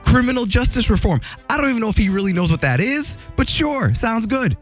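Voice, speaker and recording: A man hosting a morning radio show, telling entertainment news in a jokey, animated way. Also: fairly quick, faint music behind. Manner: animated, jokey, voice jumps high for emphasis.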